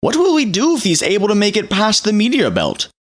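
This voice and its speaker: A woman's voice: medium pitch, wildly swooping pitch, a little fast. An actress playing an effusive, anxious council noblewoman in an audio drama, with earnest, exclamatory delivery. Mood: worried. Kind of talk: scripted audio drama acting.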